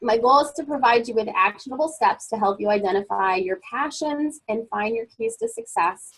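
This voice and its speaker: A woman's voice: fairly high pitch, normal speed, slightly rough, rough recording. A woman presenting a motivational webinar as a life coach, encouraging and upbeat, sounding a little froggy as she gets over a cold.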